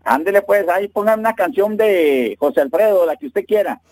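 A man's voice: high voice, moderate pace, darkish tone, no room sound. A man calling a radio show, joking and teasing with the host. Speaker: caller. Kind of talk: casual phone chat.

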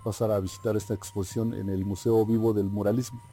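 A man's voice: lowish voice, little pitch movement, fast, dark tone. A man from Oaxaca, a native Mazatec speaker with a Mexican accent, talking conversationally as an interview guest. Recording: faint music behind.

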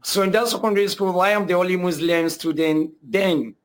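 Earnest Nigerian man, slightly high voice. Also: fairly quick. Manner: earnest, repeats himself, many hesitations. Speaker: guest telling his conversion testimony. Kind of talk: personal testimony.

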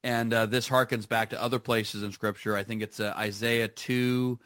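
Bright-voiced man on a live radio call. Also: medium pitch, little pitch movement, moderate pace, dry recording, clean studio sound. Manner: enthusiastic, frequent restarts and fillers. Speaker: guest. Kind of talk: casual radio conversation.

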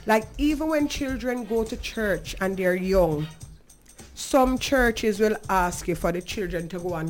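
High-voiced, emphatic Jamaican woman. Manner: earnest, emphatic, conversational. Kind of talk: advocacy talk on a talk show, slipping into patois.

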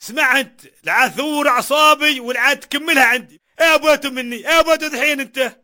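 Actor playing a broke, fed-up dad; high, bright, quick-talking, loud complaints. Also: male voice, full character voice. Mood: exasperated. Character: poor, harried father of nine. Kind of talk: comedy sketch acting.

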